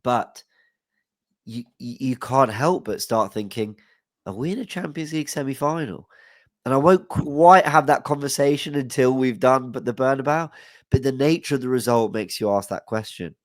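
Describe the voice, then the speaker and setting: A man's voice: medium pitch, normal speed, no room sound. A young man guesting on a football podcast over a video call, bunged up from hay fever, nervous and rambling, with lots of apologies. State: stuffy nose from hay fever. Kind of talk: casual football podcast chat. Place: home room, video call. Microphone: studio mic with headset.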